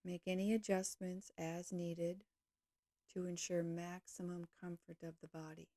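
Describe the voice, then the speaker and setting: A woman leading a guided yoga nidra meditation, speaking calmly and gently in slow, measured short phrases. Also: on the low side, dry recording, clean studio sound.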